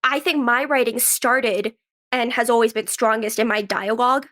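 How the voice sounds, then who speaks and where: A woman in her twenties chatting casually as an interview guest, telling stories in an animated way with quoted asides. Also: fairly high pitch, moderate pace. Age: 20s. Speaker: podcast guest, actress and writer.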